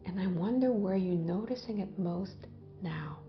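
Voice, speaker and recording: A woman leading a guided visualization in a gentle, hypnotic way, with long pauses and soft phrase endings that trail off toward a whisper. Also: mid-range voice, muffled recording. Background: background music.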